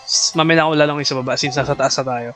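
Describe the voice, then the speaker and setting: A man with a Filipino accent giving live gameplay commentary, excitable and quick to shriek and laugh. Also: mid-range voice, faint music behind. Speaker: game streamer. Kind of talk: live gameplay commentary mixing Tagalog and English.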